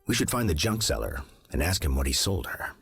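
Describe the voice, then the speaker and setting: An actor playing a serious, shrewd detective in a mystery game, speaking in a measured, deliberate way. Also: male voice, extremely low pitch, big pitch swings, vocal fry, soft background music, exaggerated voice. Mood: suspicious, pensive. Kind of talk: video game character acting.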